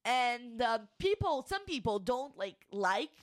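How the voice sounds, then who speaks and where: A woman's voice: a little high, big pitch swings, slow pace, no room sound, clean studio sound. A woman from Brazil whose first language is Portuguese, co-hosting a casual podcast chat in a loose, chatty way with many restarts. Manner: chatty, restarts often with fillers like "like". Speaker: podcast co-host.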